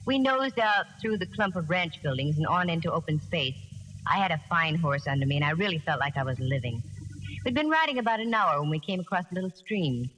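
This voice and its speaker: An American woman playing a wry, self-assured female private detective in a 1940s radio drama, brisk and plain-spoken. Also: lowish voice, expressive, a bit bright. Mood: wry narration. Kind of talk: 1940s radio acting with first-person narration. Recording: noisy old 1949 radio recording.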